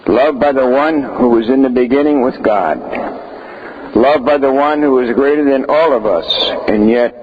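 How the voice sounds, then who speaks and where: Humble, devoted biblical disciple, male actor, solemn deliberate delivery, church drama, lo-fi sound with faint noise. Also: mid-range voice, close to an everyday voice. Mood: humble, reverent. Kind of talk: church stage monologue.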